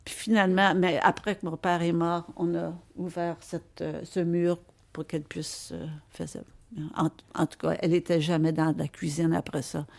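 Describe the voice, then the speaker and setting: Older female interviewee, Quebec French accent, creaky lower register, halting casual recollection with stray English words. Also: level tone. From Quebec, Canada. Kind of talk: casual reminiscing conversation.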